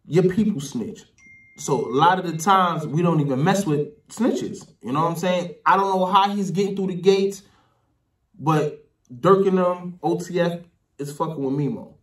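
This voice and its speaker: A young American man giving slangy, informal gossip commentary to camera, peppered with conversational tags. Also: high voice. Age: young adult. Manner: slangy, frequent "you know what I'm saying" tags. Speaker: YouTube commentator. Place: small bare room indoors. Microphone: desk condenser mic with pop filter. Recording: roomy echo, distant from mic.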